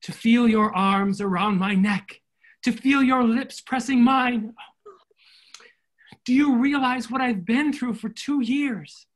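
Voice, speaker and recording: Guy doing a romantic soldier husband in a comedy play, slow and husky, very earnest. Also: very high-pitched, heavily acted, rough recording. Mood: tender, intense. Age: middle-aged. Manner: earnest, theatrical, ardent. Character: devoted, romantic army captain home from war. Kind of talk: stage comedy acting. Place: indoor room, recorded over video call.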